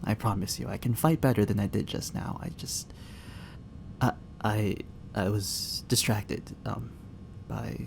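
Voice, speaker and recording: A man's voice: lowish voice, steady tone, measured pace, vocal fry, a little hiss or hum. A young man acting a shy, flustered barista who is secretly an agent in an ASMR roleplay drama, hesitant, stammering and apologetic. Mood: bashful. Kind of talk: ASMR roleplay, acted dialogue spoken to the listener.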